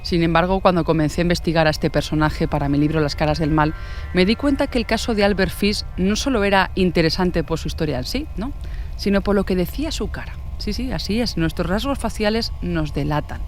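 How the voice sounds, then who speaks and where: A woman's voice: on the low side, a little fast, faint music behind. A woman from hosting a podcast, reading scripted true-crime narration. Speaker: podcast host and author.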